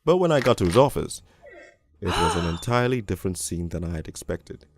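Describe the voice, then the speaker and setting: A man playing a crude, cynical police detective, narrating a crime storybook in the first person in a gravelly, world-weary, deliberate way. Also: low-pitched, sing-song, a little hiss or hum. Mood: bitter. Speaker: narrator and lead voice actor in an animated storybook.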